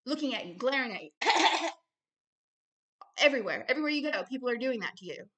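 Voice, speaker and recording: Emphatic, bright-voiced woman preaching. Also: a little high, animated, rapid-fire. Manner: emphatic, blunt, repeats phrases for stress. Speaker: ministry founder and host reading and commenting on a book.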